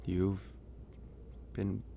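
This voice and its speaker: A man playing a gentle, caring werewolf in an intimate ASMR roleplay, speaking softly and hesitantly with pauses. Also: sits low, monotone, creaky, slight background noise, heavily acted. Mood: hesitant, curious. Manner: soft, hesitant, pausing. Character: gentle, caring young male werewolf, shy and teasing. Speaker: audio roleplay voice actor. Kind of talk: ASMR roleplay, intimate one-sided dialogue.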